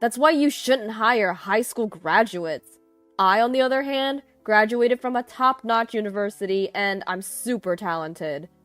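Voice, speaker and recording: A woman voicing an arrogant, boastful, cowardly man in a story, loud and blustering. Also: medium pitch, measured pace, dry recording, natural voice. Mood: smug, contemptuous. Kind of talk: dramatized storytelling. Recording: music behind the voice.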